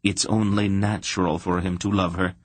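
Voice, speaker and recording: Man doing a calm, motherly noble lady. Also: sits low, normal speed, no echo. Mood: gentle. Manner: calm and gentle.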